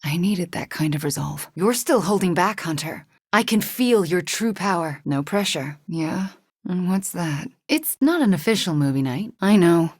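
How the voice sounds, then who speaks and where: A young superheroine sorceress for a video game. Her voice sits a little low and is soft and breathy. She sounds intimate and earnest, haunted yet wry, recorded very dry. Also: expressive, moderate pace, no echo. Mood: casual, confiding.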